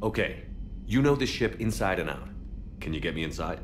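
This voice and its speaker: A man's voice: low voice, lively intonation, a little fast, slight background noise. A man playing a battle-hardened, determined space commander in a video game, with dry, wry humour. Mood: businesslike. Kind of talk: cinematic video game acting. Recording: music behind the voice.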